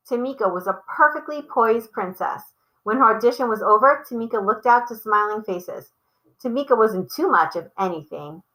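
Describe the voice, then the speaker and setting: A woman reads a picture book aloud for children. Her voice sits a little high and has a bright, forward tone. She phrases each line clearly and leans on key words. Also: poor audio. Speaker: storybook reader for children. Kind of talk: children's read-aloud storytelling.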